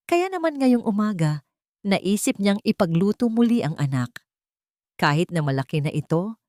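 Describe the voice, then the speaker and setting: Low-voiced Filipino woman storyteller, close and dry. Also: darkish tone, no echo. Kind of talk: scripted dramatic narration of a love story.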